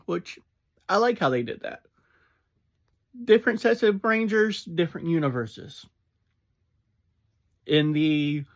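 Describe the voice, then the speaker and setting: Slow, easygoing fan reviewer. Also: mid-range voice. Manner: easygoing and chatty, with laughs and "um"s. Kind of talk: casual spoken review.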